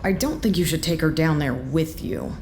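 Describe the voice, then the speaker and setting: A practical, exasperated leader talks bluntly in a low voice. Her delivery is terse and matter-of-fact yet animated, in audio drama style, over faint ambient noise. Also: normal speed. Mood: blunt, resigned.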